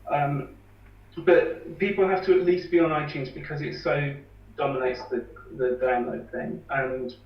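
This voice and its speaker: A man calmly explaining how he runs a campaign for independent bands, with an earnest manner. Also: fairly high pitch, a little slow. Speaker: campaign organiser. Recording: echoey, distant, dull lo-fi sound.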